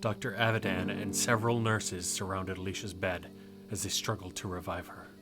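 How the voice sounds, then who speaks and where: A man's voice: low voice, little pitch movement, moderate pace, lightly acted. An actor playing a troubled, hesitant male reaper who narrates his own story in an audio drama, speaking in a subdued way. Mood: grave narrating. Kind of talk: first-person narration and dialogue in audio drama. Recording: music behind the voice.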